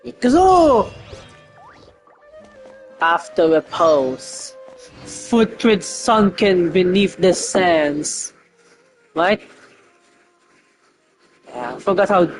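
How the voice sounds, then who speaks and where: Unhurried female gamer commentating casually. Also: medium pitch, soft background music. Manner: excitable fan reactions. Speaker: game streamer.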